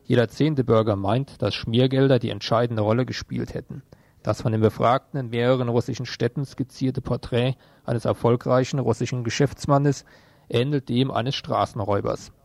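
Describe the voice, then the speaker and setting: A man's voice: medium pitch, steady tone, normal speed, creaky, darkish tone, no room sound. A man presenting radio news, reading written reports in an informal programme. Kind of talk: read news bulletin with brief informal asides.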